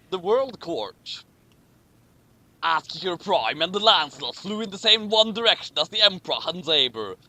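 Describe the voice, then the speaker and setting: A man's voice: sits high, very animated, measured pace, sharp tone, a little hiss or hum. A man doing a casual, mocking read-aloud with commentary, sarcastic and tripping over his words as he reads. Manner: stumbles over words while reading. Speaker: co-host reading a story aloud with commentary.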